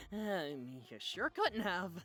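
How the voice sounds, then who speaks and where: A woman's voice: medium pitch, big pitch swings, drawn-out words, a bit bright, soft background music, full character voice. A woman voicing a tiny, cautious male magical companion with a hesitant, tactful manner in a visual novel. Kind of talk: visual novel character acting.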